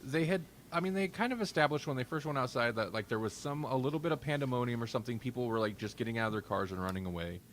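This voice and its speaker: A man co-hosting a podcast, chatting casually and retelling things in an animated way. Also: medium pitch, racing, few pauses, slight background noise. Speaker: podcast co-host. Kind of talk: casual chat review.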